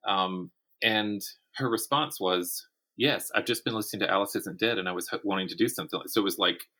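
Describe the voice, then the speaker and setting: A man chatting casually as an interview guest, telling stories in animated, stop-start phrases. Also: fairly low pitch, a little fast. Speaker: podcast guest, writer and writing teacher.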